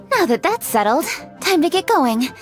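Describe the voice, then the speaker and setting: A woman voicing a composed, businesslike young lady official in a game, formal and measured. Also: sits high, very animated, heavily acted. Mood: resolved. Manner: formal, measured, matter-of-fact. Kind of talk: game cutscene voice acting. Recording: music behind the voice.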